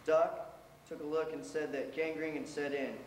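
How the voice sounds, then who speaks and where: A man plays an earnest young American lieutenant in a staged reading of a letter to his sweetheart. The voice is fairly high for a man and carries through a reverberant hall with faint noise. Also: flat delivery, moderate pace, darkish tone, natural voice. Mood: earnest, troubled. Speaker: readers theater performer. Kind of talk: dramatic reading of war letters. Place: college theater stage. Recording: echoey hall from afar, noisy.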